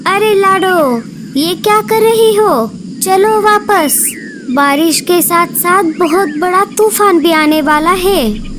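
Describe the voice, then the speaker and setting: A woman's voice: very high-pitched, slow pace, noisy background, pitched above the performer's own voice. A woman voicing a caring, bossy bird auntie who advises urgently in an animal cartoon story. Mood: urgent, scolding.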